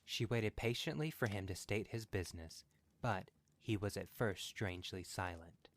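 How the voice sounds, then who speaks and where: Low-voiced man narrating a story. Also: normal speed. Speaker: podcast narrator.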